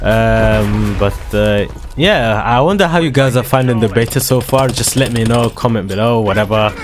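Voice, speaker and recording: A man giving excitable, slangy commentary over his own shooter gameplay, his voice a little hoarse from a dry throat. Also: fairly low pitch, moderate pace. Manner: excitable, slangy trash-talking banter. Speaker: gaming video creator. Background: loud background music and gunfire.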